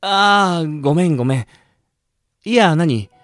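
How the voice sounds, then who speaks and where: An actor voicing a mild, genial gym manager who explains things gently in an audio drama. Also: medium pitch, expressive, a little slow, no echo, clean studio sound. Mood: genial, explaining. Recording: music under the voice.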